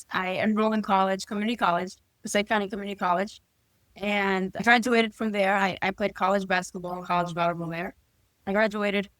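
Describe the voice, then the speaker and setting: Podcast guest, woman in her 20s from New Jersey, earnest and steady, dry recording. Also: mid-range voice, no echo. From New Jersey, USA. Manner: earnest, lists achievements steadily. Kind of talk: casual interview talk.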